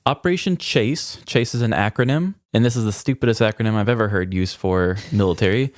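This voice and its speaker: A man's voice: lowish voice, fairly quick, dry recording, studio quality. A man co-hosting a podcast in casual chat, with an informal, joking way of talking. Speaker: podcast co-host.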